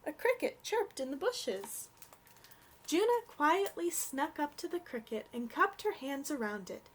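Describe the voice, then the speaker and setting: A young adult woman reading a storybook aloud for children in an expressive, gentle way. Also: high voice, a little slow.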